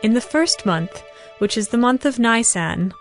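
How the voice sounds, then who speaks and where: A woman narrating scripture from a script as a measured, formal storyteller in a dramatized audiobook. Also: fairly high pitch, expressive. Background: music.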